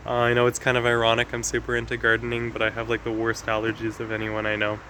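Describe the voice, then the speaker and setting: A man with a slightly raspy voice from allergies, talking casually and explaining things with lots of fillers as he walks through his garden. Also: mid-range voice, completely flat delivery, fast, pushed and bright, slight background noise, poor audio. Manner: chatty, explanatory, with frequent fillers. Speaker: gardening vlogger. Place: outdoor garden.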